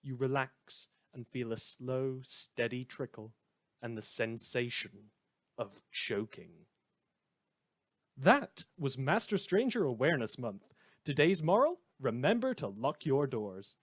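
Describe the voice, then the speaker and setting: A man playing a wry community radio host in a fan audio drama, delivering scripted narration in a measured, theatrical deadpan. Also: medium pitch, full character voice. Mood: genial sign-off. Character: wry male community radio host telling eerie tales.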